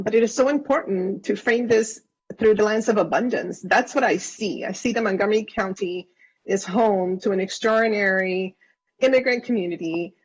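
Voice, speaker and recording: A woman's voice: medium pitch, breathy edge, low-quality recording. A woman originally from Venezuela, a councilmember giving earnest, effusive remarks at a council session and often restarting her phrases. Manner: earnest, effusive, repeats words when she restarts. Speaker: county councilmember.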